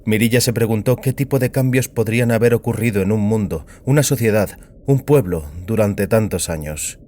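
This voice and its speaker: A man's voice: fairly low pitch, moderate pace, dark tone, slight background noise. A man giving a scripted narration of a science fiction audiobook. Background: music.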